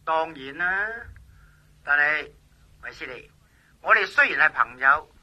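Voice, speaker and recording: An actor playing a brash, self-important police officer in a Cantonese radio drama, speaking pompously and emphatically through a telephone filter with a Hong Kong accent. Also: male voice, on the high side, animated, measured pace, pushed and bright, full character voice. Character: brash, self-important police special investigations lieutenant colonel. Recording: muffled telephone-line sound.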